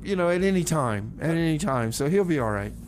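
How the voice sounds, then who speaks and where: A man's voice: fairly high pitch, expressive, fairly quick, a little hiss or hum. A man hosting a casual music radio show with comic banter, stammering and rambling as he repeats phrases. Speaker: radio host.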